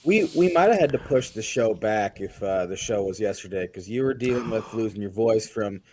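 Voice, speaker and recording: A young man co-hosting a casual sports podcast, chatty and rambling, his voice still hoarse and recovering. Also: fairly low pitch, lively intonation, normal speed, a little mellow. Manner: chatty, rambling, frequent fillers.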